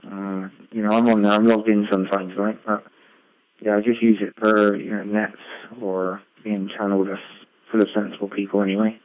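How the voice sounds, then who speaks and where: A man's voice: flat delivery, moderate pace, no echo. A man chatting casually as an amateur radio operator, rambling along with frequent tag questions over a radio link. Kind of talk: casual ham radio chat. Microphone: radio link played through a computer. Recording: muffled low-fi digital radio link.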